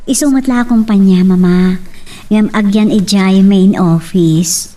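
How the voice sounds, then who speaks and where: A woman's voice: medium pitch, a little slow, mellow, distant mic, lightly acted. A woman with an Ilocano accent playing an affectionate young sweetheart and daughter in a romance radio drama. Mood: reassuring.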